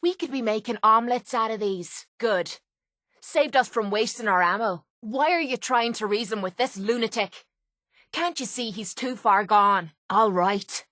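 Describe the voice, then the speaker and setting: An actress voices a tough young Irish woman, a video game companion with a blunt, sardonic tongue. She sits at a middling pitch, close and dry, with a gruff, informal manner and a vulnerable streak under the bravado. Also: fairly bright tone, no room sound, close to an everyday voice. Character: brash, foul-mouthed young Irish woman brawler, tough but vulnerable.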